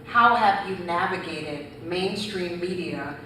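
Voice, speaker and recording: A woman moderates a panel, introducing a guest and framing questions graciously. Her voice sits slightly low, heard from a distance. The hall echoes heavily and there is constant noise behind her. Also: slow pace, pushed and bright. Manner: gracious, brief affirmations. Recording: echoey room, distant mic, background noise.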